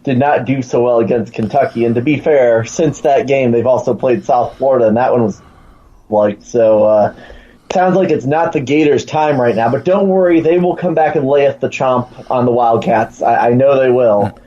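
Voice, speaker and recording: A man with a soft-edged, mellow voice chats casually as a guest. He is playful and joking, and the recording is a bit dull and lo-fi. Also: medium pitch, moderate pace. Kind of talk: casual chat.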